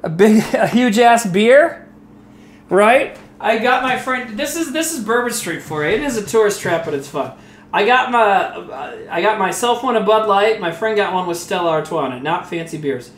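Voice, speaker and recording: High-voiced man in his forties, roomy echo. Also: lively intonation, moderate pace. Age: 40s. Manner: loose, chatty storytelling with laughs and swearing. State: a little tipsy from rum. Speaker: comedian streaming while cooking. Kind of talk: casual rambling chat. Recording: echoey room with faint background noise.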